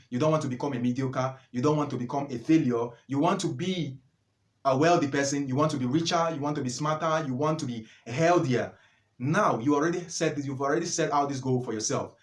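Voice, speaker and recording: A man's voice: mid-range voice, echoey room. A nineteen-year-old man earnestly giving life advice in a motivational vlog, preaching and repeating phrases for emphasis. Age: young adult. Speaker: vlogger.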